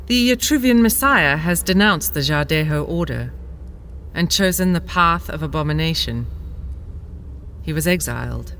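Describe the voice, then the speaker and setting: Performer playing a grave measured advisor, mid pitch, faint hiss behind. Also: animated, fairly bright tone. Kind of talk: audio drama acting.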